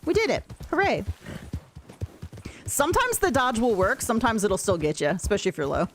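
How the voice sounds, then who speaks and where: A woman with a deep voice, chatting casually and rambling while she plays a game on a livestream and answers her chat. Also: sing-song, moderate pace, slight background noise. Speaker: streamer. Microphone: desk mic, no camera.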